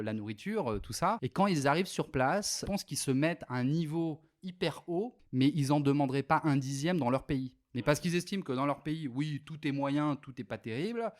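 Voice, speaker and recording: French guy in his 30s on a podcast, chatty, lots of euh, mid voice. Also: mid-range voice. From France. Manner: animated, rambling, many fillers. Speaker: guest. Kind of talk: casual interview chat.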